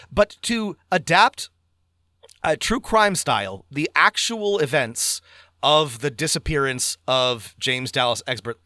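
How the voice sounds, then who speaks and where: A man's voice: medium pitch, sharp tone, no echo, studio quality. A man co-hosting a podcast in casual review chat, speaking emphatically and repeating words for stress. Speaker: podcast co-host.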